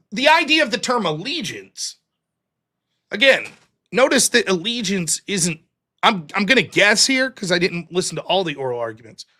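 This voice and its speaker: Man, high voice, bright tone, livestream host, argumentative, point-by-point. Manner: argumentative, explaining a legal reading point by point. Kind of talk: solo political commentary.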